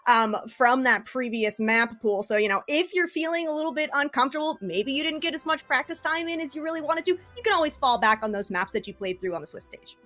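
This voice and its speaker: A woman's voice: high voice, expressive, a little fast, hardly any pauses, pushed and bright, faint music behind. A woman presenting a live esports broadcast casually, upbeat and chatty as she addresses the viewers. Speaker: esports broadcast host and caster.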